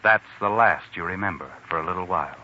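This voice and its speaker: An actor playing an ominous, insinuating narrator of a 1940s radio suspense drama, speaking straight to the protagonist. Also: male voice, low-pitched, animated, quick pace, bright, forceful tone, dull audio, slight background noise, full character voice. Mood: knowing, ominous. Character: knowing, ominous male narrator addressing the protagonist. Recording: thin old radio recording with music.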